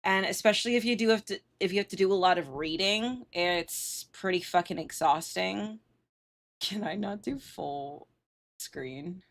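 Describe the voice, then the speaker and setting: A woman's voice: fairly low pitch, unhurried. A woman livestreamer chatting casually while gaming, informal and sweary.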